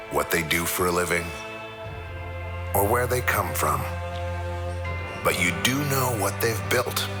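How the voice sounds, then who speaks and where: A man with a very low voice reads a corporate promo voice-over at a slow pace. His delivery is measured and solemn. Loud music plays under him the whole time. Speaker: promotional video narrator.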